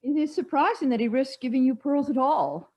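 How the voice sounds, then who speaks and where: An actress playing a bossy, grand older society woman, declaiming emphatically and a little haltingly in a stage comedy over a video call. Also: high-pitched, darkish tone, close to an everyday voice. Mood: earnest.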